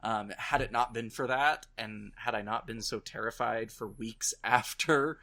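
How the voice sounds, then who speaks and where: Bright-voiced man hosting a podcast. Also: medium pitch, a little slow. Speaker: podcast co-host. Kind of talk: casual podcast chat.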